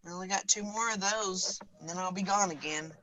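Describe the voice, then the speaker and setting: Bright-voiced hoarse woman hosting a chat. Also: mid-range voice, brisk, rough recording. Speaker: show host. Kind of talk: casual group chat.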